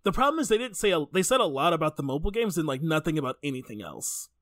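A man's voice: fairly high pitch, very animated, rapid-fire, a bit bright, no room sound, studio quality. A man co-hosting a podcast, talking casually about video games in a rambling, stop-start way. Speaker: podcast co-host.

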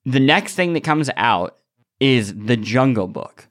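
A man's voice: medium pitch, sing-song, a little slow, fairly bright tone. Casual man chatting on a podcast. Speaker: podcast host. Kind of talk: casual chat.

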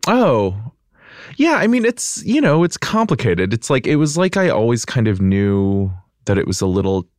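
A man's voice: medium pitch, very animated, moderate pace, a little mellow, no room sound, clean studio sound. An American man chatting as a podcast guest, reflective and casual, with lots of "like" and "you know" as he thinks aloud. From United States. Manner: reflective, filler-heavy with frequent "like" and "you know". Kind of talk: casual conversational interview.

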